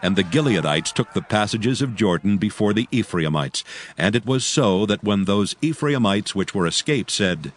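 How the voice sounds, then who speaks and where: A man narrating scripture in archaic English in a solemn, measured manner. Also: on the low side, expressive, a little hiss or hum.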